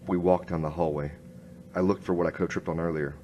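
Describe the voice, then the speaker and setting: A man playing a grim, hard-boiled noir inspector, narrating his case in clipped, deadpan radio-drama style. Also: deep voice, quick pace, slight background noise, close to an everyday voice. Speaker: narrator and lead actor.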